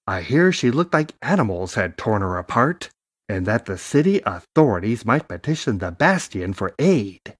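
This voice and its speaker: A man's voice: on the low side, very animated, normal speed, no room sound, clean studio sound. A man playing a nosy, familiar, gossipy tradesman in an audio drama.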